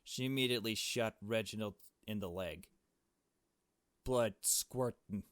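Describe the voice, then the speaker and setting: A man with a fairly low voice reads a visual novel aloud as a let's player. He shifts between narration and casual commentary, and the recording is close and dry. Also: unhurried, a bit bright, dry recording.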